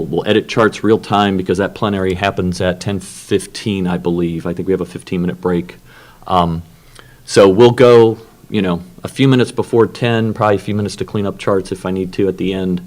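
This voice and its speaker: A man with a very low voice chairs a morning working session in a conference room. He talks into a room microphone in a halting way, full of ums and restarts. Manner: halting, many fillers and restarts. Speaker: workshop co-chair and moderator. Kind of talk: opening remarks at a technical meeting.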